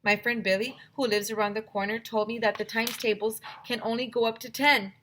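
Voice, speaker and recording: A woman teacher reading a children's chapter book aloud in an expressive storytelling style. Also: on the high side, a little fast, a little hiss or hum.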